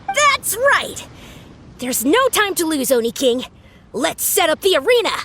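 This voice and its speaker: A woman's voice: extremely high pitch, big pitch swings, a little slow, a bit bright, exaggerated voice. A woman playing an earnest young beetle-battle master in a video game, making grandiose, archaic, formal declarations. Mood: fired up. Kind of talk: animated video game voice acting.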